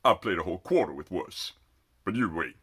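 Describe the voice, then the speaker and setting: A man reading a story, voicing a big, cocky, vengeful male recruit with a gruff, boastful drawl. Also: deep voice, animated, brisk, fairly bright tone, no room sound, studio quality, full character voice. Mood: cocky, threatening.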